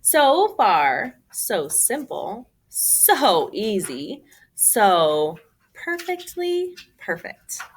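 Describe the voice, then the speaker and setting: A woman reading a children's picture book aloud as a storyteller, with an expressive, emphatic, theatrical delivery. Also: medium pitch, drawn-out words, a little hiss or hum.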